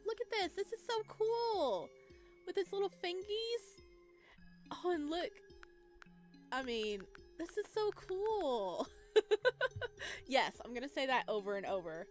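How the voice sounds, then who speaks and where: A woman with a high voice hosts a gaming video over clear background music. Her commentary is playful and gushing, full of exclamations and cutesy slang. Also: very animated, slow, long pauses. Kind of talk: excited let's play commentary with read-aloud game text.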